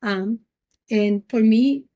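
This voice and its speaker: A middle-aged woman from Puerto Rico, the president of a nonprofit, answering interview questions earnestly with many pauses and ums. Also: on the high side, warm tone, lo-fi sound.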